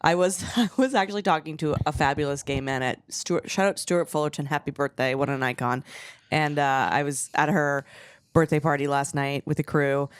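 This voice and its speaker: A woman with a low voice, co-hosting a comedy podcast and joking with frequent laughter. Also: moderate pace, clean tone, no room sound. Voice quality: low-pitched voice. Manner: playful, often laughing. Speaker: podcast co-host. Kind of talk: casual comedic chat.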